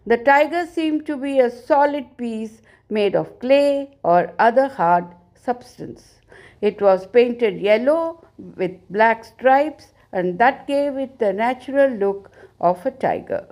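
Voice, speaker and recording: A woman narrating a scripted folk tale in a measured, deliberate storytelling manner. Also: on the high side, darkish tone.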